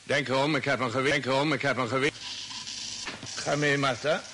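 A man's voice: medium pitch, fairly even intonation, brisk, natural voice. An actor playing a stern, suspicious sheep farmer in an old radio play, blunt and commanding, repeating his warnings. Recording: hissy old radio drama recording.

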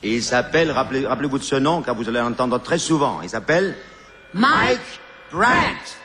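Animated male TV presenter, noisy archive broadcast. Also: mid-range voice, brisk. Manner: dramatic, building announcement. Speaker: TV show presenter. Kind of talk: live gala stage announcement.

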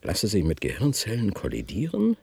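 An actor playing an obsessed, sardonic particle physicist in a German radio play, with a gravelly, very husky voice and theatrical, drawn-out delivery. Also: male voice, on the low side, wildly swooping pitch, a little mellow, no echo, heavily acted. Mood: cold, grandiose.

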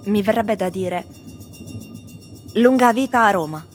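An actress playing foreign tourists who address a city in a theatrical radio recitation. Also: female voice, medium pitch, measured pace, close to an everyday voice. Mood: solemn, measured. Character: foreign tourists addressing blessings and curses to Rome. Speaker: performer in a radio theatre piece. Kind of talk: theatrical recitation of collected testimonies. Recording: voice over a music bed.

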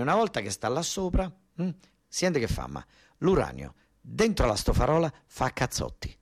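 A man with a southern Italian accent performing a theatrical, colloquial monologue, emphatic and insistently repeating words as he explains. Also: mid-range voice. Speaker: solo stage actor and storyteller.

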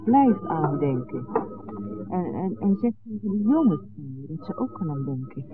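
An actress playing an ageing, informal nightclub hostess and former dancer in a 1960s radio drama, with a hesitant, questioning way of talking. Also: female voice, on the low side, sing-song, moderate pace, loud music behind the voice. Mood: urgent warning. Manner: informal, hesitant, tags phrases with questioning interjections. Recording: muffled old radio recording.

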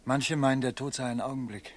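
A man's voice: medium pitch, steady tone, fairly quick, lightly acted. A male actor playing a brooding, fatalistic merchant in a German radio play, speaking in a grave, dreamy and dramatic way. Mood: brooding, regretful. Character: haunted merchant, grown man, brooding, fatalistic. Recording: vintage radio recording with faint noise.

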